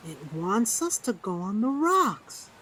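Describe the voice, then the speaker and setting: An actress playing a curious young twin who thinks things through aloud. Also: female voice, on the high side, very animated, slow pace, fairly bright tone, slight background noise, heavily acted. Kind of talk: audio drama acting.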